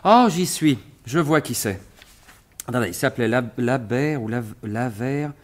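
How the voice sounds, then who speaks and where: Man playing sly, animated gentleman thief. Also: medium pitch, a little slow, close to an everyday voice. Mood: pondering, excited. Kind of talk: 1950s-style French radio mystery acting.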